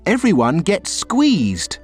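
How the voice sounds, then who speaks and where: A man reading aloud who voices a clever, matter-of-fact young girl in a storybook, bright and explaining. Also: high voice, huge pitch swings, slow, faint music behind, pitched above the performer's own voice, full character voice. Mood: knowing.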